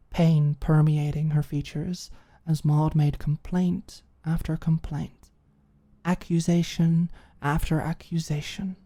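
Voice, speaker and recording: A woman's voice: low voice, warm tone. A woman reading scripted, dramatic story narration as an audiobook narrator.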